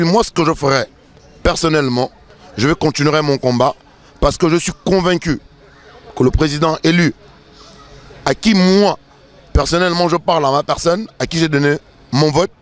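Middle-aged man, emphatic, stammering repeats, slightly high voice, handheld mic outdoors at night. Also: dry recording. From Gabon. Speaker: protester interviewee. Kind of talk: street interview statement. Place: city street at night, outdoors. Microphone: handheld reporter mic.

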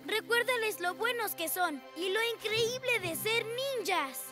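An earnest six-year-old superhero talks in a very high voice. The accent is Latin American Spanish. Loud cartoon music runs behind the lines. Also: lively intonation, a little slow. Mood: encouraging. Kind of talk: animated cartoon dub acting.

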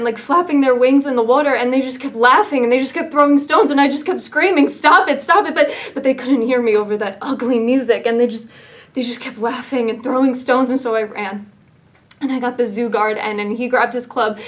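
Woman acting a fragile, haunted young woman, halting. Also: mid-range voice, darkish tone, distant mic, natural voice. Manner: halting, hesitant, with pauses and repetitions. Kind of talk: dramatic stage monologue.

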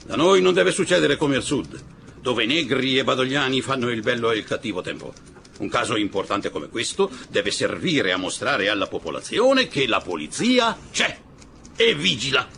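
Faint ambience surrounds a pompous fascist official in a radio drama. The voice is forceful and creaky, swinging widely in declamation, with menace under the formality. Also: male voice, medium pitch, very animated, moderate pace, noisy background. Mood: pompous, declamatory. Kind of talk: Italian radio drama acting.

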